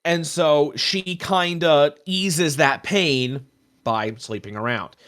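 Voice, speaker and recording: A man's voice: a little high, slow, fairly bright tone. A man co-hosting a casual discussion of tabletop roleplaying, telling stories in an earnest, emphatic way. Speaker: podcast co-host.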